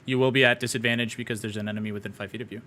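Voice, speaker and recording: A man's voice: on the low side, fairly even intonation, bright, forceful tone, slight background noise. A young man running a tabletop game on a stream, casual and profane, often laughing between rulings. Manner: profane, joking, quick to laugh. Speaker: game master.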